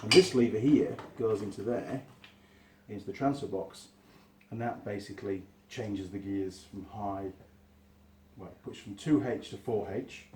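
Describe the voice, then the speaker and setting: A man, hoarse from a cold, hosting his workshop channel and chatting casually through a gearbox teardown with plenty of fillers. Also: fairly low pitch, unhurried, slight background noise. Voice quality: husky. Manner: chatty, relaxed, rambling with fillers.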